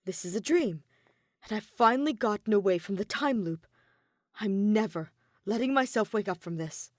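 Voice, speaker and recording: A woman's voice: medium pitch, animated, moderate pace, dry recording, studio quality, natural voice. A woman voicing a weary, withdrawn young man in denial, hesitant and subdued, in a fan fiction audio reading. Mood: stubborn denial.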